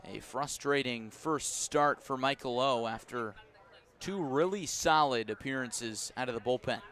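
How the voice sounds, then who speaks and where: A man's voice: mid-range voice, fairly bright tone. American man calling baseball on the radio. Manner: measured and descriptive, rising to emphatic calls on action. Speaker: baseball play-by-play radio announcer. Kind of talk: live sports commentary. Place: outdoor ballpark press box.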